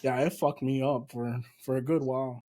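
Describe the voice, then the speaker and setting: A man's voice: medium pitch, steady tone, normal speed, warm tone. A man from California whose first language is Spanish, a comedian guest telling stories in casual chat and swearing casually. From California, USA.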